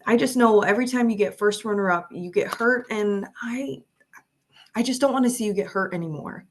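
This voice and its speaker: A woman's voice: medium pitch, a little fast, close to an everyday voice. A woman playing a caring but exasperated fiancée at a screenplay table read. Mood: hurt, concerned.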